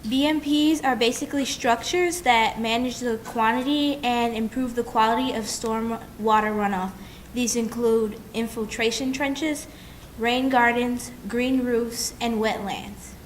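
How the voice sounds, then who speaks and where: Young woman presenter, slightly high voice. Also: fairly even intonation, unhurried, slight background noise. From Chicago. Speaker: student presenter. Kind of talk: classroom presentation. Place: lecture room.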